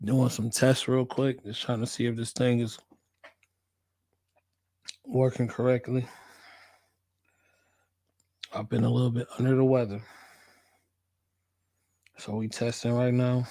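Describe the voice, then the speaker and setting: An American man hosting a casual livestream, laid-back and slangy, repeating his phrases, with a voice hoarse from a cold he is getting over. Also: mid-range voice, level tone, unhurried, pauses often, dark tone, no room sound. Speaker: livestream host, working nurse.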